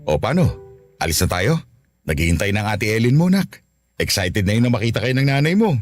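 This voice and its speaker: A man's voice: fairly low pitch, very animated, brisk, faint music behind. An actor playing a remorseful, loving Filipino father in an earnest radio drama. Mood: delighted.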